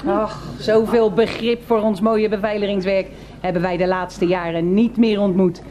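An actress playing a self-important security guard in a radio drama, pompous and formal, always saying "we". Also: female voice, mid-range voice, level tone, moderate pace, warm tone, a little hiss or hum, natural voice. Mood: flattered. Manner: pompous, formal, speaks as royal we.

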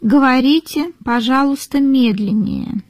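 A woman reading model sentences for learners in a careful, deliberate way, repeating each phrase. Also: sits high, a little mellow, no room sound. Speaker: native-speaker model reader.

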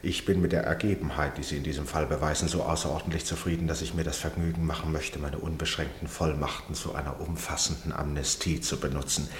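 A man's voice: rumbling low, steady tone, fast, hardly any pauses, slight background noise. An older man acting in a German radio play as an eccentric, genial club chairman and former judge, speaking formally and grandly. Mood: courteous, quiet. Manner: grandiloquent, precise, self-amused.

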